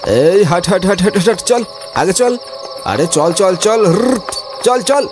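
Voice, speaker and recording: A man's voice: sits high, expressive, takes it slowly, noisy background, pitched above the performer's own voice. A man voicing an earnest young village cart driver and dutiful son in a horror cartoon. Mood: urging cattle. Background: music, insect sounds.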